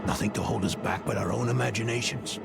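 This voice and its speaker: An actor playing a weary, self-doubting older scholar-mage in a fantasy game, gravelly and halting, with a brooding manner. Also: male voice, on the low side, fairly even intonation. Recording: loud music under the voice.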